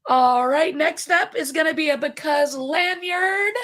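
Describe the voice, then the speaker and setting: A woman with a raspy but high voice hosts a live podcast stream. She talks in a bubbly, rambling way, full of fillers and little laughs. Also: unhurried, a bit bright. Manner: bubbly, chatty, many fillers. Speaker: podcast host. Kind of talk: casual live-stream chat.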